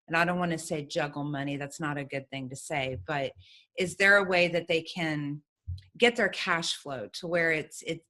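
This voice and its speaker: A woman's voice: deep voice, fairly bright tone. A woman hosting a conversational business interview, asking questions of her guests. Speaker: host.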